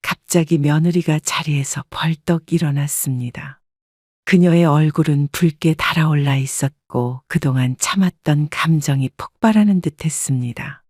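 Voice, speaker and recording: A woman narrating a scripted first-person family drama in a calm, even storytelling manner. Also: low-pitched, normal speed, no room sound, studio quality.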